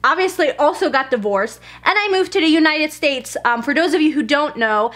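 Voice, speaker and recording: A bright, high-voiced young woman from Germany, a native German speaker, talking straight to camera. She chats candidly and loosely, full of fillers and little self-asides, in the tone of a lifestyle vlog. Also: lively intonation, fairly quick. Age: 20s. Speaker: lifestyle vlogger. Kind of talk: casual talk to camera.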